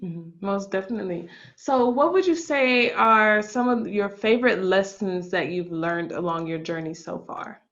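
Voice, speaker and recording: A woman's voice: medium pitch, moderate pace, few pauses, fairly bright tone, highs cut off. A woman from the United States hosting an interview, encouraging and affirming her guest as she asks questions. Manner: encouraging, affirming listener, frequent agreement. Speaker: podcast host. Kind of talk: casual interview conversation.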